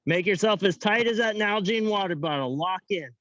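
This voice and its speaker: Young male workout coach, high voice, upbeat counting and playful asides, muffled dry sound. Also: normal speed, a bit bright, dry recording. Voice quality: self-described high-pitched voice. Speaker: fitness coach. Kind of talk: guiding an online workout class.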